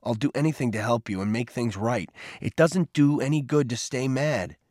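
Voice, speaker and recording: A man's voice: mid-range voice, normal speed, a little mellow, no room sound, studio quality, lightly acted. A man voicing a remorseful boy in an audiobook story, earnest and pleading as he holds back his temper.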